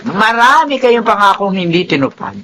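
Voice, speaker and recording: A woman's voice: medium pitch, sing-song, moderate pace, fairly bright tone. An actress playing a feisty old grandmother who playfully scolds, in a Filipino radio drama with a Filipino accent. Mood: playful scolding. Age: older. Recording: faint background noise.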